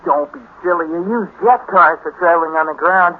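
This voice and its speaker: A man's voice: on the high side, fairly quick, lightly acted. An actor playing a cocky, scheming teenage boy with slangy, confident know-it-all delivery in a 1950s radio drama. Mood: cocky, eager. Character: cocky, scheming teenage boy, space-age hot-rodder. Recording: scratchy, tinny 1950s radio recording with hiss.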